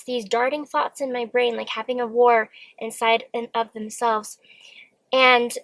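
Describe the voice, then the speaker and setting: A woman's voice: fairly high pitch, fairly bright tone, no room sound, poor audio. A young woman vlogger talking candidly and earnestly to camera from her car, with an occasional stammer. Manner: open, earnest, self-reflective. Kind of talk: candid solo talk to camera. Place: parked car.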